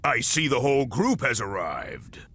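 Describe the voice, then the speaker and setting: An actor playing a commanding, menacing officer in a video game, curt and authoritative with a husky voice. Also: male voice, mid-range voice, very animated, measured pace, fairly bright tone, exaggerated voice. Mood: cold menace. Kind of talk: video game cutscene acting.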